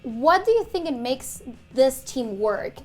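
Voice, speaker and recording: Chatty female interviewer, higher voice. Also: sing-song, soft background music. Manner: chatty, warm praise, halting phrasing. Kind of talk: casual interview questions.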